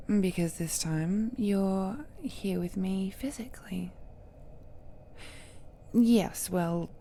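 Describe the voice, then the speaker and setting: A woman playing an ancient godlike villainess in an intimate audio drama, seductive, possessive and falsely tender, with coy endearments and a breathy voice that often drops to a whisper. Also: medium pitch, warm tone, a little hiss or hum. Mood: pleased, welcoming. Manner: slow, coy, drawn-out endearments with teasing murmurs.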